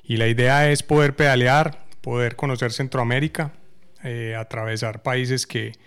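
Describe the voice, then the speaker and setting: A man from Medellín with a Colombian accent, speaking calmly and thoughtfully as an interview guest. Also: medium pitch, normal speed, clean tone. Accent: Colombian Spanish from Medellín. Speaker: guest, amateur cyclist.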